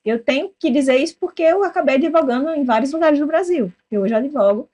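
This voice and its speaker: A woman from southern Brazil with a southern Brazilian accent, hosting a casual interview and telling lively stories that act out quoted dialogue. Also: high voice, fast. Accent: southern Brazilian Portuguese. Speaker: podcast host.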